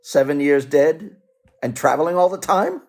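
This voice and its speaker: An actor playing a querulous, skeptical old miser who is easily frightened, in a theatrical radio play. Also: male voice, fairly high pitch, expressive, normal speed, darkish tone. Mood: questioning. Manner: dramatic, querulous.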